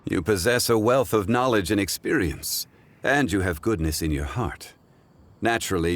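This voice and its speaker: A man voicing an ancient, wise, scholarly gentleman in a video game cutscene, speaking in a formal, measured and reflective way. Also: low-pitched, slight background noise. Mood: warm regard. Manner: formal, measured, eloquent, contemplative.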